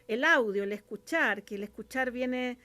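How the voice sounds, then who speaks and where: Chilean female guest, slightly high voice, casual and colloquial, thinks aloud while explaining. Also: normal speed, sharp tone. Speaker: interview guest, radio drama scriptwriter and producer.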